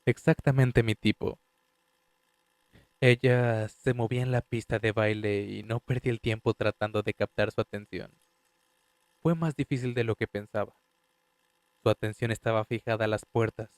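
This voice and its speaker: A man's voice: on the low side, steady tone, normal speed, long pauses, no room sound, lightly acted. A man voicing a young male designer who gives a confessional, slightly defensive first-person statement in a fan dub audio drama. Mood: uneasy. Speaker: voice actor reading a statement.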